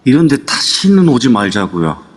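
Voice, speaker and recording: A man's voice: mid-range voice, big pitch swings, normal speed, big-room sound. A man playing a younger male companion, talking casually. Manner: casual, reporting. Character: younger man who calls the leader big brother. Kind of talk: amateur audio drama acting.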